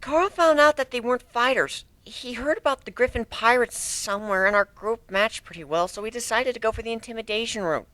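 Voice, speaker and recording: A man's voice: very high voice, fairly quick, few pauses, sharp tone, no echo, pitched above the performer's own voice. A man voicing a young female griffin on trial in a dramatic story reading, timid and defensive, with a hesitant, stammering delivery. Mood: subdued, explaining. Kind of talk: dramatic fan fiction reading.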